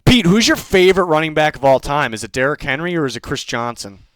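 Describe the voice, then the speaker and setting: A man's voice: on the high side, very animated, fast, fairly bright tone. A man hosting a casual football picks chat, rambling and repeating himself with a jokey streak. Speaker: host of a football picks show.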